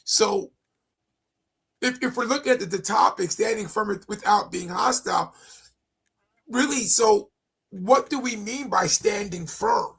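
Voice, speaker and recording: Co-host, raspy and gravelly, plays moderator, keeps asking questions. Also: medium pitch, a little slow, long pauses, fairly bright tone. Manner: probing, asks questions, steers to the topic. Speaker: podcast co-host. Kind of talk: casual faith discussion.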